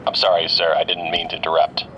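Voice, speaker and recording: A man's voice: rumbling low, monotone, quick pace, highs cut off, a little hiss or hum. A man playing a respectful, deferential police officer in an audio drama, addressing a superior. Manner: respectful, apologetic.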